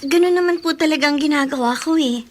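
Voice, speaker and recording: A polite, troubled schoolgirl of graduating age acted by a woman in a radio drama. Her high voice is plaintive and respectful. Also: fast talker, slight background noise, natural voice. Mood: worried. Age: young adult. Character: troubled, respectful young female student. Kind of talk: Filipino radio drama acting.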